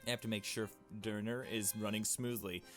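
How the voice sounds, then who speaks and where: A man voicing a haughty, dismissive rich young woman from a visual novel in a brisk, bossy manner. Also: on the low side, steady tone, music in the background, lightly acted.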